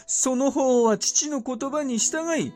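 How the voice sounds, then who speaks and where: An actor playing a capricious, cruel regent who speaks in an affected, gloating lordly way in a Japanese period audio drama. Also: male voice, very high voice, slow pace, darkish tone, soft background music. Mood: haughty amusement.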